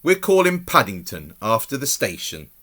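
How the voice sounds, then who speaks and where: A man's voice: mid-range voice, huge pitch swings, moderate pace, fairly bright tone, voice pushed up. A man voicing a kindly, motherly woman in a storybook who is exclamatory and takes charge. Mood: decisive.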